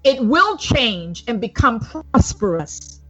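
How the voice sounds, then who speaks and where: Solemn, unhurried woman preaching from a script. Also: on the high side, very animated, bright, forceful tone, breathy edge. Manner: solemn, declamatory, deliberate. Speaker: evangelist reading a prophecy report. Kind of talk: formal religious address, read aloud from a prepared script. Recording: dull lo-fi recording with music underneath.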